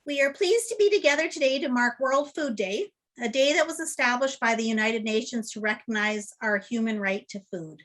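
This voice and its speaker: A woman's voice: fairly high pitch, a little fast, few pauses, a bit bright. A woman welcoming people to an online meeting, chatty and friendly, with many fillers as she runs through the call rules. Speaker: meeting host. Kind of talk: welcome and housekeeping on a video call. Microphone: video call.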